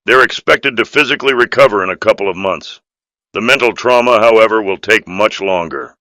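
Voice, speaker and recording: A man's voice: fairly low pitch, a little fast, sharp tone, no room sound. A man voicing an earnest, dutiful police officer in video game dialogue, firm and official in manner. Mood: grave.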